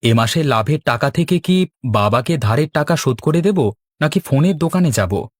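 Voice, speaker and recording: A man's voice: on the high side, expressive, moderate pace, dry recording, clean studio sound. A man voicing a cheeky, quarrelsome young village husband in a cartoon, with a teasing, argumentative manner. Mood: playful. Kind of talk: cartoon dialogue.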